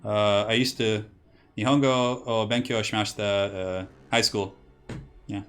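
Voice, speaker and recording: A man's voice: lowish voice, fairly even intonation, a little slow, clean tone, soft background music. A young man from California casting a strategy game match and chatting with his stream's chat, rambling with lots of "like" and false starts. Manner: rambling, filler-heavy with many "like"s. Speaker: streamer and caster. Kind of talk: casual game commentary with chat.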